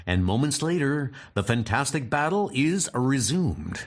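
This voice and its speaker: Slow, lively male comic book narrator. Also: medium pitch.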